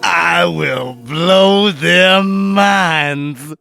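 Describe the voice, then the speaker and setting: Creaky, slow, dim satyr. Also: male voice, on the high side, sharp tone, dry recording, clean studio sound, soft background music, exaggerated voice. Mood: cocky. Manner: very slow, drawn-out, exaggerated. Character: cocky, simple-minded male satyr with swollen ego. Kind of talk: theatrical radio drama acting.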